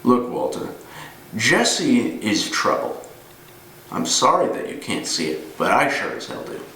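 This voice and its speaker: A man performing a dramatic monologue as a gruff, weary older ex-cop, grimly menacing, telling his tale deliberately with pauses. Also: mid-range voice, sing-song, bright, forceful tone. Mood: grim warning. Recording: roomy, distant mic with noise.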